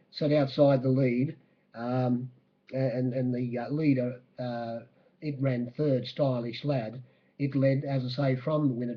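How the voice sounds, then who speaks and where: Croaky, halting Australian man. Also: mid-range voice, muffled recording. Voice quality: croaky, husky. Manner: halting, hesitant, matter-of-fact. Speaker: racing bookmaker giving a race-day report. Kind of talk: spoken horse racing recap.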